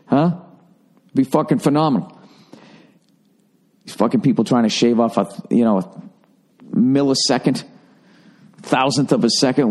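A man's voice: medium pitch, a little mellow. A middle-aged man hosting a podcast, telling road stories in a profane, sardonic, rambling way with many fillers. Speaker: podcast host. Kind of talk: casual solo podcast monologue.

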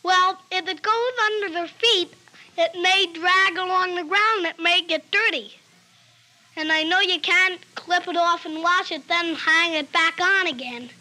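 A female interviewee talks casually and without a script. The voice is extremely high and very sharp and bright. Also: unhurried. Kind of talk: unscripted opinion, casual.

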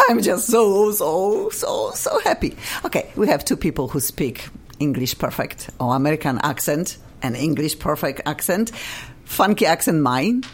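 A woman from Poland with a Polish accent, hosting a radio talk show in a bubbly, effusive way and laughing often. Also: on the high side.

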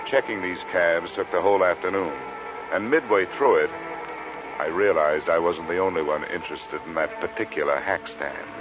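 An actor playing a cool, hard-boiled insurance investigator, narrating and talking in a crisp, wry, businesslike way in an old radio drama. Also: male voice, low voice, lightly acted. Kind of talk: 1950s radio detective narration and acting. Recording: narrow vintage radio recording.

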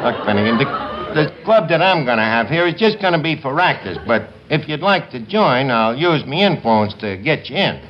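A man's voice: medium pitch, sing-song, moderate pace, close to an everyday voice. An actor playing a sardonic, slangy tavern manager who mangles his grammar in a 1950s radio comedy. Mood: patient, dry. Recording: noisy muffled 1950s radio broadcast.

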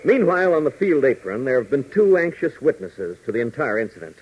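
Animated male 1940s radio serial narrator. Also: mid-range voice, a little fast. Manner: dramatic, theatrical delivery. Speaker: narrator and announcer. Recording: noisy, dull vintage 1940s radio recording.

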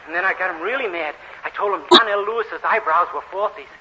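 An American man playing a naive, eager, dim-witted young man in an earnest, excitable 1940s radio comedy style. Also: high-pitched, quick pace, close to an everyday voice. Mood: pleased, bragging. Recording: hissy, muffled old radio recording.

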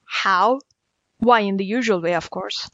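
A woman's voice: mid-range voice, unhurried, bright, forceful tone, no echo, dull audio. A woman voicing a hungry, impatient male tiger in a storybook reading, blunt, commanding and scornful. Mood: exasperated.

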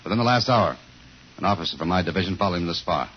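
An actor playing a tough, blunt police detective in a 1950s radio crime drama, with clipped, terse delivery. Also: male voice, low voice, lively intonation, fast, a little hiss or hum. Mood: hard, pressing. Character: tough, blunt male police detective narrating his case. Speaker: actor in the lead role. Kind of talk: 1950s radio crime drama acting, hard-boiled narration. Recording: muffled vintage radio recording, loud music.

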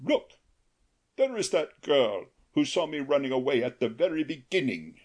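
A woman reading a storybook aloud, voicing a huge, proud male sea crab who bargains stubbornly and slowly. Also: deep voice, very animated, darkish tone, breathy edge, pitched below the performer's own voice, full character voice.

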